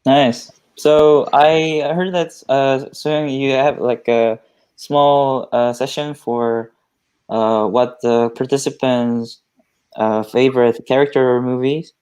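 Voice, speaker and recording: A young man in his twenties from South Korea with a Korean accent, hosting a live online event over a video call and often pausing to search for words. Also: mid-range voice, steady tone, darkish tone, clean tone. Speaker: event host.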